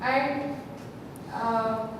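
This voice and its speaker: A woman introducing a friend at a workshop, halting with simple phrasing. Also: a little high, fairly even intonation. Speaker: workshop participant. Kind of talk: introducing a fellow participant. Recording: echoey hall, distant mic, noisy.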